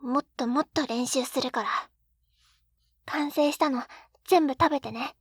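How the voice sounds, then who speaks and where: A woman's voice: high-pitched, a little slow, no room sound, clean studio sound. A woman playing an eager, clumsy, sweetly pouty young wife in an intimate binaural roleplay, with a cutesy, flustered delivery. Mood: affectionate. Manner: cutesy, flustered, pouting, hesitant little gasps. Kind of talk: Japanese situation-voice roleplay, intimate ASMR audio drama. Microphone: close binaural 3Dio microphone.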